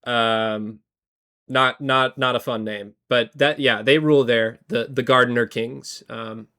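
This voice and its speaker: A man co-hosting a podcast, slightly hoarse from a cold, talking casually with lots of fillers and restarts. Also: mid-range voice, fairly even intonation, a little slow, pushed and bright. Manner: rambling, many fillers and restarts. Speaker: podcast co-host. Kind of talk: casual history chat.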